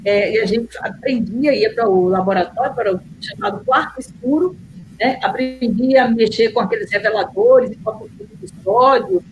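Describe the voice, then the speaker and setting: A middle-aged woman, a guest on an interview who talks warmly about her past with many small pauses. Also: a little high, slight background noise, rough recording. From Bahia, Brazil. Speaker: guest, nurse and city councillor. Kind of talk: casual interview chat.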